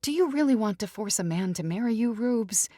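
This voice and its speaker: A woman voicing a hesitant, caring young maid of honor in an audiobook, careful and gentle in manner. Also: a little high, animated, a little fast, dry recording, studio quality, pitched above the performer's own voice. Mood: gently firm. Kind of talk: audiobook character dialogue.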